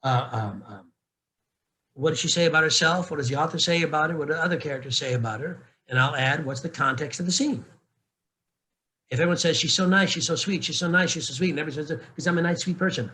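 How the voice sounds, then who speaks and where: A man with a bright, forward voice pitched a little high, talking loosely and candidly. He jokes, doubles back on his words and restarts sentences often, like a coach chatting with peers. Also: quick pace. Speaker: narration coach. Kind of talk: casual panel talk.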